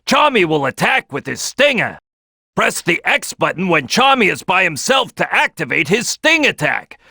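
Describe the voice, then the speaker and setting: A man's voice: high-pitched, big pitch swings, moderate pace, gravelly, bright, forceful tone, no room sound, clean studio sound, full character voice. A man voicing a big brash cartoon crocodile team leader in a video game, giving tutorial tips with emphatic, punchy delivery. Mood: brash, forceful. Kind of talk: animated game tutorial voice lines.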